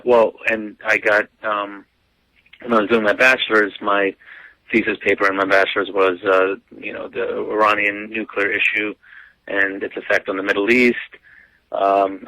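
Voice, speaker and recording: Laid-back guy late 20s, lots of likes and ums, flat lowish voice, dry sound. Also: no room sound, rough recording. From United States (grew up). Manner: easygoing, lots of "um" and "like" fillers. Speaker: guest. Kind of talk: casual interview answers.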